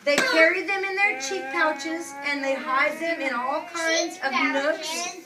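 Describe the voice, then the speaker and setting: A woman's voice: very high-pitched, slow pace. A woman preschool teacher reading aloud to young children and guiding them with simple, repeated phrases and questions. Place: preschool classroom. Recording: distant mic in noisy children's classroom. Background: loud chatter of young children.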